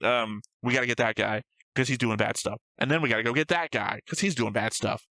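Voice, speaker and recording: A man's voice: medium pitch, fairly quick, sharp tone, no echo. A man co-hosting a reaction show, chatting casually and analytically, thinking aloud and swearing freely. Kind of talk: casual analytical chat about an anime episode.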